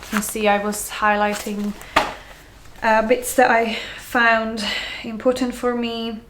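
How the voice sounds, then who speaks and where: A young woman with a mixed Slovak-Hungarian accent rambles casually, full of ums and restarts, in a slightly high voice. The room is echoey, with a faint hiss behind her. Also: flat delivery, takes it slowly. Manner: chatty, many ums and restarts, laughs easily. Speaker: yoga teacher vlogger. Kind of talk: casual chat to camera.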